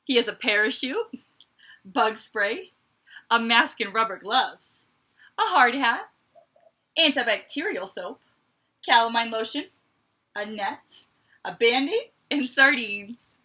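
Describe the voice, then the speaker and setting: Animated, bright-voiced woman reading to children. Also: on the high side, slow pace, pauses often, slightly rough. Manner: expressive, dramatic emphasis, conversational asides to young listeners. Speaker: teacher reading a picture book aloud. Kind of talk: animated children's read-aloud with asides.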